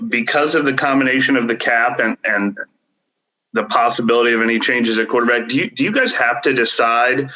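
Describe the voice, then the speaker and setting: Bright-voiced man, halting reporter's questions. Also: mid-range voice, rough recording. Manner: halting, rephrasing as he goes. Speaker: sports reporter. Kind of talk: press conference question.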